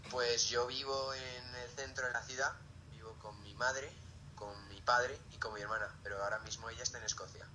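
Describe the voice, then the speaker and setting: Late-teen male, Spain Spanish accent, casual interviewee, very bright forward tone, slight background noise. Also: mid-range voice, fairly even intonation, moderate pace. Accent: Peninsular Spanish. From Zaragoza, Spain. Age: late teens. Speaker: interviewee, student.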